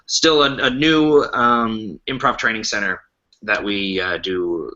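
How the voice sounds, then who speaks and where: A man's voice: fairly low pitch, steady tone, a little slow, sharp tone, dull audio. A man with an American accent talking casually as an interview guest over an internet call. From United States. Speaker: guest, improv teacher and performer. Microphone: online video call.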